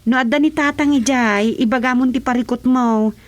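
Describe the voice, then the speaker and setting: High-pitched earnest Ilocano village wife played by a woman. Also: fairly even intonation, moderate pace, lightly acted. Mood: concerned. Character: concerned, outspoken younger village wife. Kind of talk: Ilocano radio drama acting.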